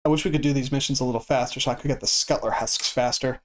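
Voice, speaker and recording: A man streaming a game who chats casually in a flat, stoic way and swears freely. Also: mid-range voice, fast. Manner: monotone and stoic delivery. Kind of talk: casual chat while gaming.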